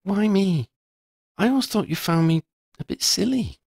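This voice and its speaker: A man's voice: big pitch swings, normal speed, gravelly, darkish tone, breathy edge, dry recording, clean studio sound, full character voice. A man reading aloud and voicing a curious, eager teenage schoolgirl who stammers when flustered. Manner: high put-on voice, stammering.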